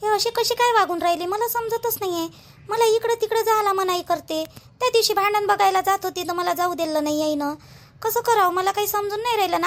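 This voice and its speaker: A woman's voice: very high-pitched, fairly bright tone. A woman playing an aggrieved, stubborn young village wife in a family cartoon, protesting and questioning in a Vidarbha Marathi accent. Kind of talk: family drama cartoon dialogue.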